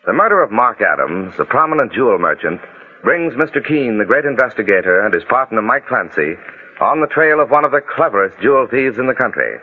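A man's voice: mid-range voice, sing-song, brisk, natural voice. A man playing a solemn, dramatic announcer, reading scripted narration in 1940s radio style. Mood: solemn, suspenseful. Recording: dull band-limited old 1940s radio recording. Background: faint music.

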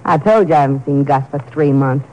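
A woman's voice: rumbling low, brisk, a little mellow, poor audio. An actress playing a sullen, defiant female crook in a 1950s radio drama, talking brash street slang. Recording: vintage radio broadcast.

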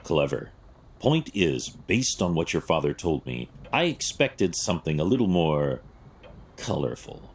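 An actor playing a stern, analytical vigilante detective in an audio drama, terse and clinical, with a gravelly voice. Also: male voice, rumbling low, sing-song, unhurried, heavily acted. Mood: stern, decisive.

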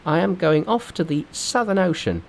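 A man voicing a cocky, quick-witted monkey hero in a storybook reading, assured and decisive. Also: high voice, lively intonation, creaky, darkish tone, voice pushed up. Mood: confident. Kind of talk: storybook narration with character voices.

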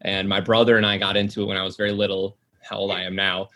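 A man's voice: low voice, little pitch movement, fast, pushed and bright. A man in his late twenties chatting casually, rambling with lots of fillers and restarts. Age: 20s. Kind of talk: casual chat.

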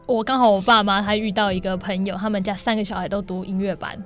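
A woman's voice: mid-range voice, fairly even intonation, fast talker. A young woman with a Taiwanese Mandarin accent chatting casually as an interview guest. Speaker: guest, stage singer and actor. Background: music under the talk.

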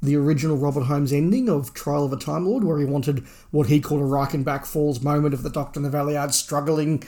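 A man's voice: a little high, quick pace, warm tone. Male podcast co-host, conversational and musing, informal news-chat delivery. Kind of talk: casual discussion of Doctor Who fandom news.

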